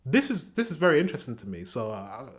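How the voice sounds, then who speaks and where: A man's voice: mid-range voice, huge pitch swings, fast talker. A British man giving casual, opinionated commentary as a reaction host, chatty and given to acting out examples. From England. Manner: chatty, acts out example exchanges.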